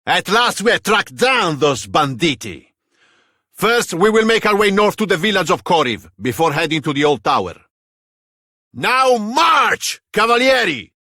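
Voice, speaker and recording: An earnest italian soldier captain for a video game, formal, rough husky voice, super lively pitch. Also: male voice, unhurried, no echo, studio quality, full character voice. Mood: commanding. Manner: theatrical, formal, gallant. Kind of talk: scripted fantasy video game dialogue.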